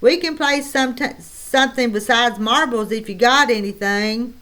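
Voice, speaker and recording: A woman's voice: on the high side, normal speed, bright, forceful tone. A woman doing a frank eight-year-old boy. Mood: friendly.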